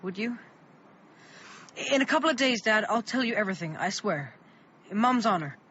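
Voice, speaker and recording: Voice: mid-range voice, expressive, moderate pace, a bit bright, lightly acted. A performer playing an earnest, anxious thirteen-year-old small-town boy, urgent in manner, in a radio drama. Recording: muffled, noisy radio play recording.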